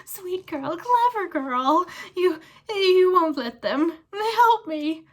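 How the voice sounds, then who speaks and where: A woman voicing a cowardly man groveling for his life, squeaky and whimpering, stammering as they plead in a storybook reading. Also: lively intonation, slow, full character voice. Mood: wheedling. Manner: stammering, imploring.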